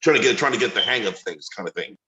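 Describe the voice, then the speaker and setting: A man with a deep voice on a video call, hesitant and searching for his words. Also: expressive, quick pace, bright, forceful tone, roomy sound, poor audio. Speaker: coaching participant.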